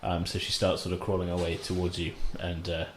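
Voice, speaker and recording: A man's voice: sits low, monotone, brisk. A man narrating a tabletop game as game master in a casual, improvised, hesitant conversational way. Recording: roomy echo, faint background noise.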